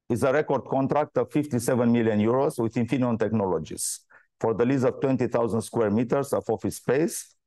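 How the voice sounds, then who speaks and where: A man delivers a scripted earnings presentation with a mellow, relaxed tone. His reading is formal and measured, and the sound is close and dry, at an average pitch. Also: no echo. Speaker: company executive presenting financial results.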